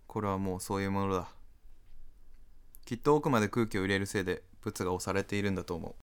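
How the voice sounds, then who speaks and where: A man playing an apathetic, antisocial smoker who narrates a radio drama in a deadpan manner. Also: low voice, fast, clean tone, close to an everyday voice. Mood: deadpan, weary. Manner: deadpan inner monologue. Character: apathetic, antisocial male smoker narrating his reluctant encounters.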